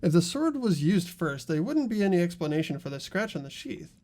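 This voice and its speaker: A man's voice: high-pitched, very animated, brisk, darkish tone. A man voicing an earnest teenage boy in a fan-dubbed mystery game, reasoning things out aloud. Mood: questioning, insistent. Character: earnest teenage boy student working out a murder.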